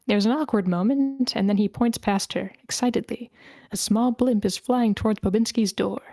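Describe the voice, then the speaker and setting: A woman's voice: fairly high pitch, moderate pace, mellow, dry recording, studio quality. A woman reading a screenplay's stage directions as the narrator in scripted narration.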